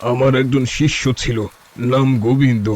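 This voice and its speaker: A man's voice: medium pitch, steady tone, normal speed, darkish tone, natural voice. A man voicing a weak, betrayed older holy man in a cartoon, speaking in a tired, pleading, sorrowful way. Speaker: voice actor. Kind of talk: cartoon voice acting.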